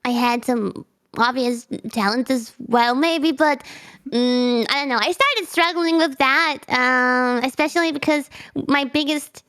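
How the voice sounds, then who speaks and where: A woman's voice: high-pitched, measured pace, fairly bright tone, clean tone. A woman whose first language is German, a streamer guest chatting casually on a video call, bubbly and giggly, often restarting her sentences. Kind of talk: casual interview chat.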